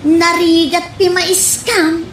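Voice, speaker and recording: A woman's voice: very high-pitched, little pitch movement, slow pace. A woman with an Ilocano accent playing a suspicious, nagging friend in a comedy radio drama. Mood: worried, warning. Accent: Ilocano, from the Philippines. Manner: suspicious, complaining. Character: wary, nagging woman friend who distrusts strangers. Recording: echoey room, faint background noise.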